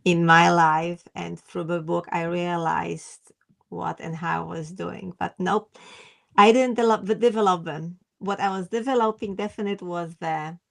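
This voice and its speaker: A woman's voice: lowish voice, fairly even intonation, measured pace, vocal fry, fairly bright tone. A woman whose first language is Polish, a memoir author answering interview questions in simple, hesitant phrasing. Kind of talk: podcast interview.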